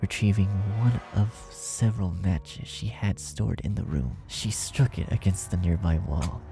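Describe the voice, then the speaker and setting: A man with a deep, creaky voice narrates a horror tale. He reads from a script in a dramatic storytelling style, with music clearly playing behind him. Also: a little slow. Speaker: narrator, fan fiction reader.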